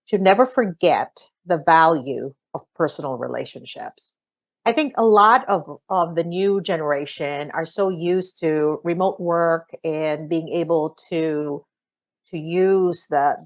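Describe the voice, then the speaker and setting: A woman in her sixties hosting a podcast, answering questions in a thoughtful, earnest and encouraging way. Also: on the low side. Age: 60s. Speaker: podcast host.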